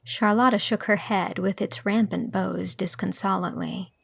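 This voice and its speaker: A woman's voice: mid-range voice, steady tone, moderate pace, gravelly, no room sound. A woman reading scripted literary narration as an audiobook narrator.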